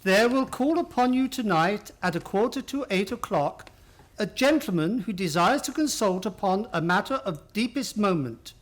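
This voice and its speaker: Radio drama loyal gentleman sidekick: high male voice, very expressive, inquisitive, mildly hesitant. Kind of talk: radio play acting.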